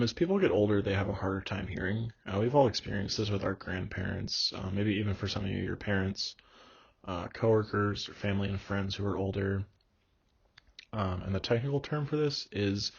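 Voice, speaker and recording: A young man delivers a recorded lecture in a slightly low, gravelly and husky voice. He explains things in a measured way, filling pauses with ums and uhs. The recording is dull and lo-fi.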